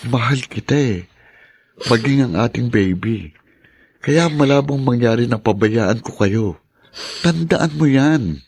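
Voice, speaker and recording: A devoted young boyfriend in a radio drama. His husky, mid-pitched voice is soothing and earnest, recorded close and dry. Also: animated, measured pace, pauses often, a little mellow, no echo, full character voice. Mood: reassuring. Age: young adult. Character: reassuring, devoted young boyfriend promising marriage. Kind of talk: Filipino radio drama acting.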